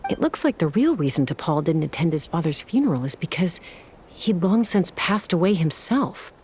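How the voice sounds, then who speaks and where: An actress playing a cool, tough, perceptive young woman investigator in a video game, measured and analytical. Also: fairly low pitch, very animated, a little mellow, a little hiss or hum. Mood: analytical, serious.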